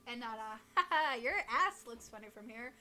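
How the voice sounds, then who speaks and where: Lively, bright-voiced young woman. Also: on the high side, normal speed. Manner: giggly, teasing, irreverent. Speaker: co-host reading listener stories. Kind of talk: casual chat reading creepy stories aloud.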